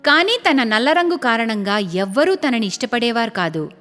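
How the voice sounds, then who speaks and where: A woman narrating a scripted cartoon story in an expressive storytelling style. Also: a little high, moderate pace, long reverb tail. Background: faint music.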